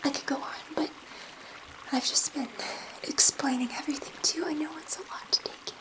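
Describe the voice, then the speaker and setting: Softly whispering gentle female alien scientist, played by a woman. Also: sits high, steady tone, close to an everyday voice, low-quality recording. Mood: gentle, excited. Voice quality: soft, breathy whisper. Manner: hesitant, halting, soothing. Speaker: ASMR roleplay performer. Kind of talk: whispered ASMR sci-fi roleplay.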